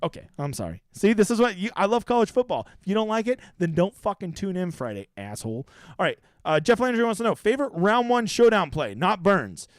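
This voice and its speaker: A man's voice: sits high, sing-song, fairly quick, no room sound, clean studio sound. A man in his thirties hosting a livestream, chatting casually with viewers in a profane, brash, rambling way. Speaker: livestream host. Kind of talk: casual livestream chat answering viewer questions.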